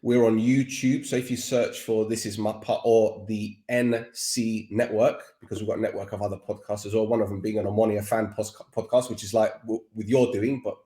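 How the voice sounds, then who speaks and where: A man's voice: on the low side, flat delivery, brisk, hardly any pauses, mellow, reverberant. A man with a Cockney accent, a podcaster talking as a guest expert in a casual, rambling interview chat. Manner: chatty, rambling with restarts.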